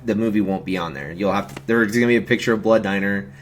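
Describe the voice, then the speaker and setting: A man's voice: lowish voice, fast, slight background noise. A man hosting a film watch-along stream, talking in a casual, jokey, informal way. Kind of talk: casual chat and film commentary.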